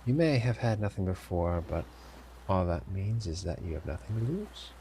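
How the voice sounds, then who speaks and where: Man playing a deep, gravelly pirate captain. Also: big pitch swings, warm tone, a little hiss or hum. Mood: grave warning. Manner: theatrical, deliberate, formal phrasing with teasing pauses. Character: male pirate captain, sly, commanding, smugly amused. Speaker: audio roleplay performer. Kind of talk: scripted immersive audio roleplay addressed to listener.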